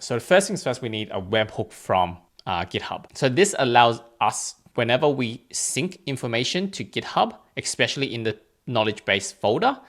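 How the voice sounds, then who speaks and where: A man presents a software tutorial in a plain, explanatory voice of medium pitch. He works through the material step by step. His wording is informal, as a host talking viewers through a screencast. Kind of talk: instructional screencast narration, step by step.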